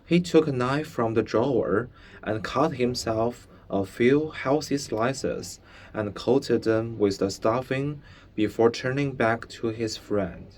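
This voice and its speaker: A young man reading a story aloud as a student, in a halting, deliberate way. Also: mid-range voice, darkish tone, slight background noise. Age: young adult. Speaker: student reader. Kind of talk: storybook read-aloud narration.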